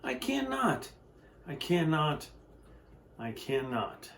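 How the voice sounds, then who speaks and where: A man's voice: fairly high pitch, very animated, slow, fairly bright tone, reverberant, a little hiss or hum, exaggerated voice. Man doing a sighing weary old engine.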